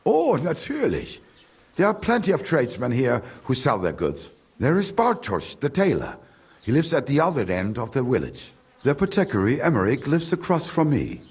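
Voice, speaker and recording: An actor playing a grumbling, condescending village merchant in a video game, with a German accent and German phrases slipped in. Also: male voice, mid-range voice, wildly swooping pitch, moderate pace, darkish tone, husky, exaggerated voice. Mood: gossipy. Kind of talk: video game character dialogue.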